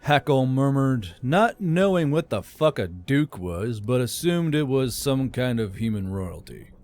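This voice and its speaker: A man's voice: medium pitch, sing-song, a little slow. A man reading scripted fantasy fiction as an audiobook narrator. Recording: soft music bed under narration.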